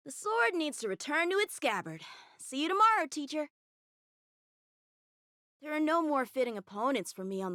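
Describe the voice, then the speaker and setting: A woman's voice: fairly high pitch, fairly bright tone, no echo, clean studio sound. A woman voicing an eager, earnest teenage boy swordsman in a game, talking in a boyish, cheerful way. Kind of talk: game character voice lines.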